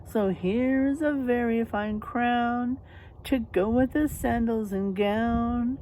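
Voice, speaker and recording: Lady doing friendly storybook animals, singsong and high, encouraging, faint noise. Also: takes it slowly, mellow, low-quality recording. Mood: warm singsong.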